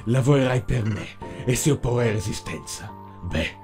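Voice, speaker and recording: A man voicing a cruel, mocking dark tentacled overlord in an animated fan dub, speaking with menacing mock politeness. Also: mid-range voice, moderate pace, lightly acted. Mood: mocking, threatening. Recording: music behind the voice.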